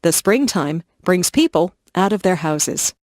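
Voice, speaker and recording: A woman slowly and clearly reading simple first-person stories for English learners. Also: on the low side, lively intonation, a little mellow, no echo, clean studio sound. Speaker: reader of English listening stories. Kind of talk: slow scripted reading for learners.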